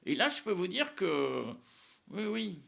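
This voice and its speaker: Lively gravelly man rambling on faith. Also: sits high, measured pace. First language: Spanish. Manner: rambling, repeats words, frequent "hein" and "euh". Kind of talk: casual religious monologue to camera.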